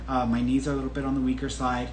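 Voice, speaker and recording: A man's voice: mid-range voice, monotone, rapid-fire, a little mellow. A man in his thirties, a podcast host answering a viewer's question in a casual, candid, chatty way. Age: 30s. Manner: chatty and candid, with frequent restarts and laughs. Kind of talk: casual solo talk. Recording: echoey room with faint background noise.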